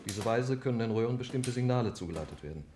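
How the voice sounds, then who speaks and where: An actor playing a brisk, precise technical scientist in a 1950s radio drama, speaking in an explanatory manner. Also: male voice, medium pitch, expressive, a little mellow, slight background noise, lightly acted. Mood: matter-of-fact.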